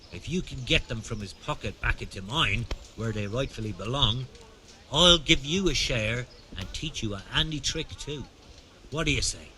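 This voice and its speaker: An actor playing a wily, aggrieved beggar and pickpocket in a video game, plaintive and streetwise. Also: male voice, mid-range voice, pushed and bright, a little hiss or hum, rough recording. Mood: indignant, wheedling. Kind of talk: video game dialogue acting.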